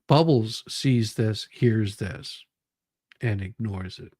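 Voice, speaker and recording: A man reading documents aloud and commenting on them in a halting, deliberate way. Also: on the low side, darkish tone, dry recording, clean studio sound. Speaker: commentary show host.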